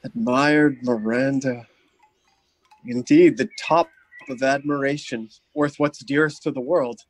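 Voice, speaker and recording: A man's voice: mid-range voice, fairly even intonation, unhurried, lightly acted. An actor playing an ardent, gallant young nobleman in love in a Shakespeare radio play, earnest and courtly. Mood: adoring wonder.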